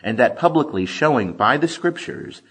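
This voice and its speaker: A man reading scripture as scripted audiobook narration, with expressive, deliberate emphasis. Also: mid-range voice, slightly rough. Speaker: scripture reader.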